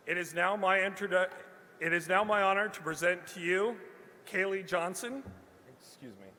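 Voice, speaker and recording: Bright, forceful man speaking in a big hall. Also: high-pitched, normal speed. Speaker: alumni speaker and presenter. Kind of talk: prepared ceremonial speech. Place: large ceremony hall, at a podium.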